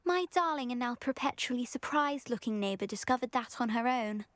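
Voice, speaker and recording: A woman's voice: a little high, expressive, a little fast, no room sound, natural voice. A woman voicing a vain, scheming teenage schoolgirl in a video game, with a pompous, genteel British delivery. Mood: smug, pleased. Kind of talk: video game character acting.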